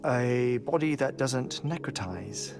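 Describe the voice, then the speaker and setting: A gentle, obsessive scientist, speaking slowly and thoughtfully in a soft, deliberate voice. Clear music runs under him in a game cutscene. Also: male voice, medium pitch, animated. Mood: musing. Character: gentle, obsessive male scientist with a weak heart.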